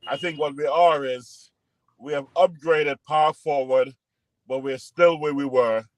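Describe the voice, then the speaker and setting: A man's voice: mid-range voice, no echo, rough recording. A man co-hosting a podcast, rambling and joking through a casual sports debate over a call and talking over others. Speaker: podcast co-host.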